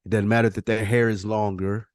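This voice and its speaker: A man with a low-pitched voice talks as a podcast host. The sound is dry, with no room around it. He chats casually in slang and repeats his phrases to stress a point. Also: flat delivery, a little fast, dry recording.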